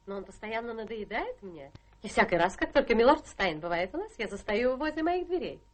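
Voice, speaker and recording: Young society wife, high pitch, very animated, coquettish, ambitious, self-assured, theatrical radio drama. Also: female voice, brisk. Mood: cool, coaxing. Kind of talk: theatrical radio play acting.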